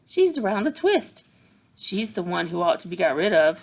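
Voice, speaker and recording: A woman voicing a gentle young female teacher who speaks softly with restrained disapproval, slightly gravelly. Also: lowish voice, sing-song, normal speed, full character voice. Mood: disapproving.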